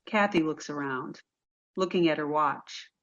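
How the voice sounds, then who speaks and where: A woman's voice: low-pitched, measured pace, a bit bright, muffled recording. A woman reading stage directions as the narrator of a play, in scripted narration.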